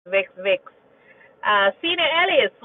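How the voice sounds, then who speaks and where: A woman's voice: medium pitch, sing-song, a little slow, no echo. Chatty Jamaican woman, tinny sound. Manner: chatty and storytelling, repeats phrases and asks "you understand?". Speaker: nurse and livestream host. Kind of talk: casual livestream chat reading viewer comments.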